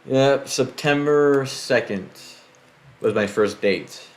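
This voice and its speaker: A man's voice: mid-range voice, takes it slowly. A man hosting a casual podcast, talking loosely with swearing and many fillers. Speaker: podcast host.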